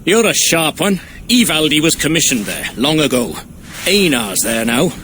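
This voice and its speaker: Bright-voiced, cheeky dwarf. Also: male voice, fairly high pitch, very animated, normal speed, a little hiss or hum. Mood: wry, bitter. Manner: chatty, wry, colloquial cursing. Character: cheeky, plain-spoken male dwarf, wary survivor. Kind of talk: cinematic video game acting.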